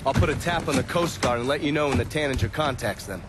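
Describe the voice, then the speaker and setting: An actor playing a world-weary, cynical intelligence agent, sardonic and profane, in a video game cutscene. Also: male voice, medium pitch, fast, noisy background, natural voice. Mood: briefing, sardonic.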